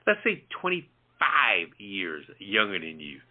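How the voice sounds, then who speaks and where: A man's voice: on the low side, huge pitch swings, slow, breathy edge. A man co-hosting a casual talk show discussion, posing rambling rhetorical questions. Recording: muffled, band-limited audio.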